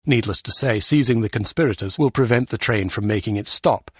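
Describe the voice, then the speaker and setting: An actor voicing a dour, critical intelligence official in a video game, with a clipped, formal manner. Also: male voice, on the low side, fairly quick, husky, no room sound, clean studio sound. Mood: businesslike. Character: dour, critical male British intelligence bureaucrat. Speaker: intelligence official giving briefings.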